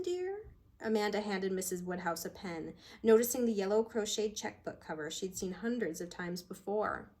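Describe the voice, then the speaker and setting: A woman with a mid-range voice speaks as an author presenting her work. She moves between casual introduction and narrated storytelling. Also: mid-range voice. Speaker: author reading own short story. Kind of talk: casual introduction, then narrated fiction reading.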